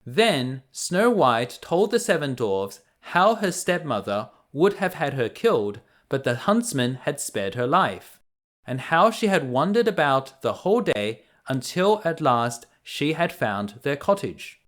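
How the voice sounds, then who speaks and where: A man narrating a children's bedtime story. He reads slowly and carefully, with very lively pitch movement and an expressive, measured storytelling manner. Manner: measured, expressive read-aloud.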